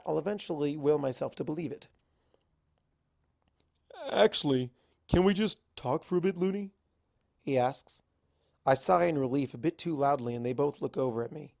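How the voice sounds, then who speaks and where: A man's voice: on the high side, animated, a little fast, creaky, a little mellow. A man reading a first-person story aloud as an amateur narrator. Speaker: amateur fan fiction reader.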